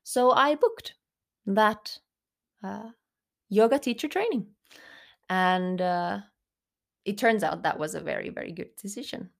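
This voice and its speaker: A young woman co-hosting a podcast, telling her story in a thoughtful, unhurried way with many fillers and restarts. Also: medium pitch, lively intonation, gravelly. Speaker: podcast co-host. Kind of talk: reflective personal storytelling.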